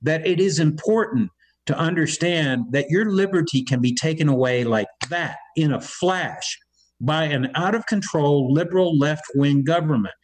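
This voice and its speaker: A man's voice: a little high, moderate pace. Emphatic Cuban-born man on a video call. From Cuba. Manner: emphatic, repeats words when starting. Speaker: political guest. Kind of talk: impassioned political talk.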